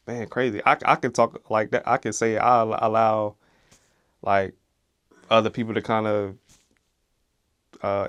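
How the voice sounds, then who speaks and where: A man's voice: on the low side, gravelly, clean tone, no room sound, studio quality. A man guesting on a casual podcast, laid-back and reflective, often saying "man". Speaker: guest, rapper. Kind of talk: casual conversational interview.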